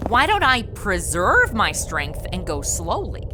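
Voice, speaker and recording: A woman's voice: medium pitch, wildly swooping pitch, a little slow, background noise. A woman playing a young modern policewoman who complains sarcastically in a comedy audio drama. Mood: sarcastic, grumbling.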